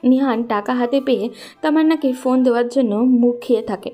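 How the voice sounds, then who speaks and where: A woman with a fairly high, soft-toned voice narrates a scripted story. Light music plays behind her narration. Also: fairly quick.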